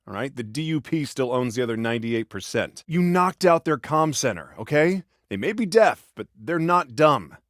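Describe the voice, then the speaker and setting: An American police officer from a video game, a protective big-brother type with a sarcastic edge. His pitch moves around a lot as he cautions and needles. The recording is close and dry. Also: fairly bright tone, no room sound. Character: exasperated protective adult cop, sarcastic older brother.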